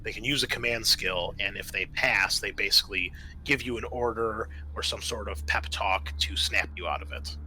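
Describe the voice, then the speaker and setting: A man's voice: fairly low pitch, moderate pace, pushed and bright, slight background noise, poor audio. A man acting as game master in a tabletop roleplaying game, explaining rules and narrating what the players' characters do.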